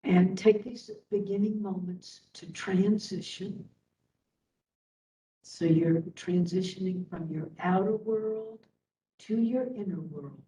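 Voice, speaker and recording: A woman instructor leading a guided meditation in a soothing manner, speaking in short phrases with long pauses. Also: warm tone. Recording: roomy, distant mic, faint rumbling noise.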